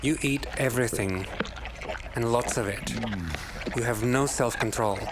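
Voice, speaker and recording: A man voicing a sad, critical grown son, estranged from his father, in scripted animated film narration. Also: mid-range voice, a little slow, very noisy, natural voice.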